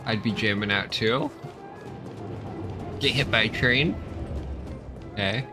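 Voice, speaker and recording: A man with a lowish voice comments casually on a game as he plays. He is a laid-back, slangy streamer. Music is clearly audible behind him. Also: takes it slowly. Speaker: gaming streamer.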